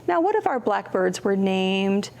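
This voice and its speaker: A woman's voice: mid-range voice, a little slow, gravelly. A woman hosting a children's storytime, reading picture books aloud expressively and putting simple questions to her young listeners. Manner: expressive, acts out contrasts with her voice. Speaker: children's storytime host, librarian.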